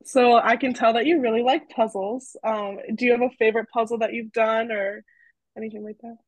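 A young woman co-hosts a student podcast with a higher voice, reading the guest introduction and asking short questions in a friendly way. The recording is close and dry. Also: fairly even intonation, a little fast, dry recording, poor audio. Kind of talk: scripted intro, quick questions.

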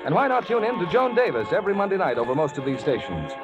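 A man's voice: high voice, sing-song, fast talker, dull audio. A man announcing credits and reading advertising copy in a scripted 1940s radio style, on an old broadcast. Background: music.

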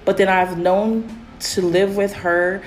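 A woman hosting a casual faith podcast, with a raspy voice and a rambling manner full of fillers. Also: mid-range voice, takes it slowly, slight background noise, rough recording. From Pacific Islands. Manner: rambling, many fillers, animated when excited. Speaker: podcast host.

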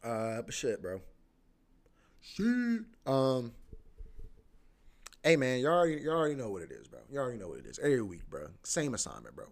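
A man's voice: medium pitch, wildly swooping pitch, moderate pace, gravelly, long pauses. A man in his twenties hosting his own podcast, talking casually in a rambling, slangy way with lots of fillers. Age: 20s. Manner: laid-back, slangy, rambling with many fillers. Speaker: podcast host. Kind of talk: casual solo podcast monologue.

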